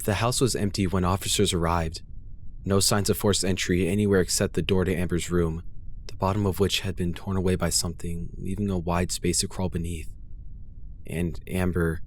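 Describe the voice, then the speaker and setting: A man's voice: sits low, slight background noise. A man reading a first-person horror story as a dramatic scripted narration, grave and intense.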